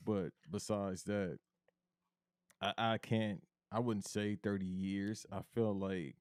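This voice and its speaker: A man with a very low, bass-heavy voice, talking wrestling on a podcast. He speaks in an animated, emphatic, slangy way. The sound is close and dry, with no room around it. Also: slow, clear, resonant tone, dry recording. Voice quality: deep bass voice. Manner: animated, emphatic, slangy, calls his cohost bro. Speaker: podcast co-host. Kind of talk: casual wrestling chat.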